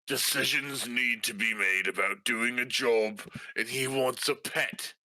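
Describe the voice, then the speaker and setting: A man playing a tabletop role-playing game, improvising in character in a halting manner. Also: mid-range voice, bright, forceful tone, no echo, poor audio.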